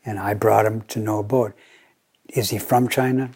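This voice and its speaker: An older American man giving a Zen dharma talk, playful and deliberate, often slowing down and repeating his phrases. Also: on the low side, fairly even intonation, darkish tone, breathy edge. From United States. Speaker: Zen teacher.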